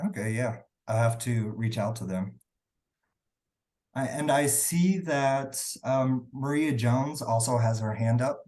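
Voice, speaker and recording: A man with a slightly hoarse voice from a sore throat, casually hosting a webinar and taking audience questions. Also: mid-range voice, takes it slowly, a little mellow. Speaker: webinar host and moderator.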